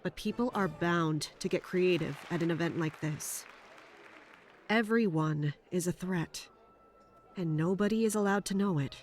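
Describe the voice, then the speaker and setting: A woman's voice: lowish voice, unhurried, lightly acted. A woman playing a stern, vigilant young guard in an audio drama, serious and matter-of-fact. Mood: watchful. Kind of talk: audio drama narration and dialogue.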